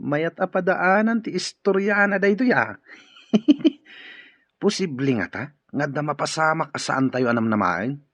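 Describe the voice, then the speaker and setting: A man's voice: a little high, expressive, measured pace, long pauses, a bit bright, dry recording. An actor in an Ilocano radio drama playing an amiable, easygoing man at the office. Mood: amused. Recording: dull lo-fi audio.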